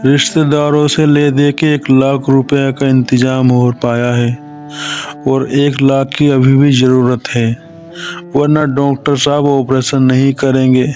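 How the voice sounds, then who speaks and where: A man's voice: medium pitch, little pitch movement, measured pace, darkish tone, lightly acted. A man voicing a poor village farmer and husband in a moral story cartoon. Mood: worried, pleading. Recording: music under the voice.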